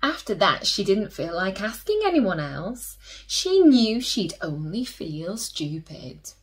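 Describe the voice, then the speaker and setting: Slow, lively woman reading a children's story. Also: medium pitch. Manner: slow, animated storytelling with drawn-out emphasis and hushed asides. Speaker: teacher reading children's stories, host. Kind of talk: expressive picture-book read-aloud for children.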